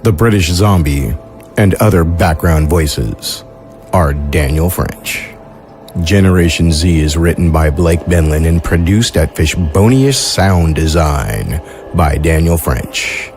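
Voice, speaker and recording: A man's voice: a bass voice, lively intonation, slow, a little mellow. A man serving as the show's narrator, reading scripted end credits. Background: music.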